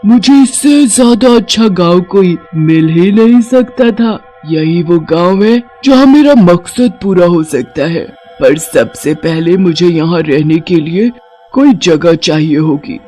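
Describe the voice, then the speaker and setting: A narrator acting out an evil cartoon witch who plots against a village. The delivery is slow and gloating, muttered as if to herself, with music underneath. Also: medium pitch, animated, warm tone. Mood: scheming. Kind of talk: Hindi cartoon horror story.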